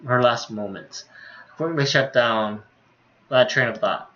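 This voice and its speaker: A man streaming a game, reading its story text aloud in a casual way. His medium-pitched voice comes through slightly dull, lo-fi audio. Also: unhurried, sharp tone. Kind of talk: reading visual novel dialogue aloud, casual commentary.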